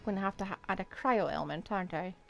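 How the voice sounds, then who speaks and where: A woman's voice: medium pitch, quick pace, vocal fry, fairly bright tone. A woman streamer giving casual live game commentary, musing aloud and asking herself questions, with faint game music behind her.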